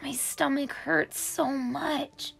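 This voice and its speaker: A woman voicing a sad, grateful little schoolboy from a picture book, in a plaintive, drawn-out manner. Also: high-pitched, steady tone, creaky, a bit bright, voice pushed up, heavily acted. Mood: miserable.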